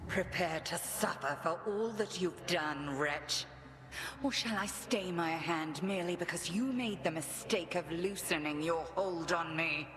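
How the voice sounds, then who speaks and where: Low, imperious witch played by a woman. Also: unhurried, close to an everyday voice. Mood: menacing. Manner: haughty, archaic, formal phrasing. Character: stern, imperious witch headmistress, vengeful. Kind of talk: dramatic video game voice acting. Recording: long hall reverb, clear background noise.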